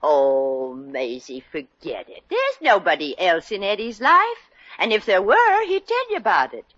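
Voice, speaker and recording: An actress playing a nosy, sardonic lady friend who deals out brisk wisecracks in 1940s radio comedy style. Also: fairly low pitch, wildly swooping pitch, a bit bright, no echo, heavily acted. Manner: dry wisecracks. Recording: muffled old radio recording.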